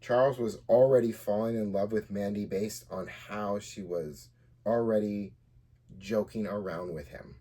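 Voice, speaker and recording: Low-voiced man hosting a casual storytelling podcast. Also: a little slow, warm tone. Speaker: podcast host and writer reading their own story.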